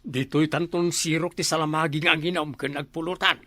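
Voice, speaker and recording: A man playing a scheming, excitable village drinking buddy in a comedy drama, exclamatory and stammering, with an Ilocano accent. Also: a little high, fairly quick, dry recording. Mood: gleeful, scheming. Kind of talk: Ilocano comedy radio-style drama acting.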